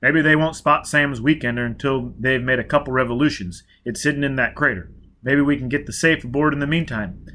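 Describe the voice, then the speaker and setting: A man voicing a brutal, driven spacer who barks clipped, loud orders in an audiobook reading. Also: mid-range voice, fairly quick, close to an everyday voice. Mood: desperate.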